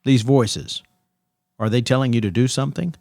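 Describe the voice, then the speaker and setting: An actor playing a reassuring 1990s late-night radio host of a paranormal show, with smooth showman patter. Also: mid-range voice, normal speed, darkish tone, no echo, studio quality, close to an everyday voice.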